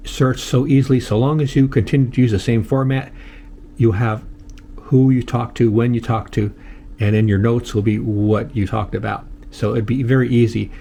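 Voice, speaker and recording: An older American man giving a casual, unscripted tutorial in a halting, plain-spoken way, often repeating himself. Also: mid-range voice, mellow, slight background noise. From United States. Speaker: host of a how-to video channel.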